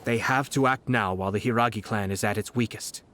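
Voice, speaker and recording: A man voicing a calm, shrewd young nobleman in a video game who speaks in a measured, analytical way. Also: fairly low pitch, slight background noise, close to an everyday voice. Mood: composed. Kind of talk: game voice acting. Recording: game audio over loud background music.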